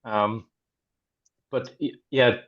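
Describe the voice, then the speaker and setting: A man from Ireland, a translator on a panel, answering thoughtfully with many pauses and restarts over a video call. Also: medium pitch, steady tone, a bit bright, dull audio. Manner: hesitant, many ums and restarts. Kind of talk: conversational answer in a panel discussion.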